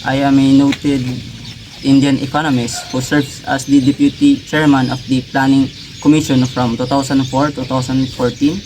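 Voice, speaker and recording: A young man reading a scripted first-person biography as a student presentation, reciting a little haltingly. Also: mid-range voice. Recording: noisy ambient background with birdsong.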